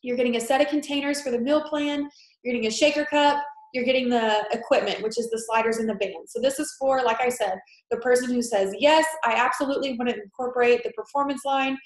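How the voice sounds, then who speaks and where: Young woman, stuffy nose, high voice, echoey room, laptop mic on a video call. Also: fairly even intonation, fast. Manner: chatty, filler-heavy, reassuring. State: stuffy nose from a head cold. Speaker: fitness coach presenting a webinar. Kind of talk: casual sales presentation.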